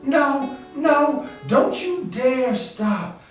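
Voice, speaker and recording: A man voicing a loud, bawdy young frontier woman with a sassy, drawling backcountry way of talking. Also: sits high, lively intonation, very slow, pitched above the performer's own voice, exaggerated voice. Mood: urgent. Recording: roomy echo, distant mic, faint guitar music.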